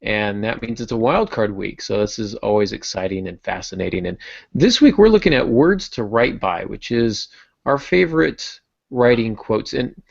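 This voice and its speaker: A man hosting a podcast in casual discussion, thinking aloud in a halting way. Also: fairly low pitch, gravelly, dry recording, lo-fi sound. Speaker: podcast host.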